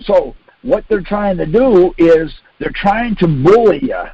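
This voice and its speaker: A man's voice: high voice, expressive, unhurried, slightly rough, no room sound. An older American man leading a conference call, giving an informal, insistent instructional talk full of rhetorical questions and acted-out exchanges. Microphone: phone conference line. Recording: dull lo-fi conference call audio.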